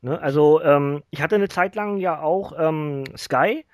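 A man's voice: fairly high pitch, unhurried, no echo. A man in a casual podcast chat, talking eagerly and with firm opinions. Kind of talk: casual podcast discussion about cartoons.

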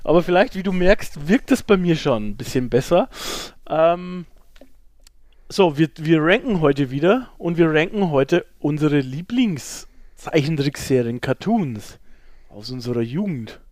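A man's voice: a little high, animated, a little slow, a little mellow, no echo. A middle-aged man chats casually as a podcast co-host. His intonation swings freely and he has a playful, joking way of talking. The sound is dry, with no room in it. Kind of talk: casual chat.

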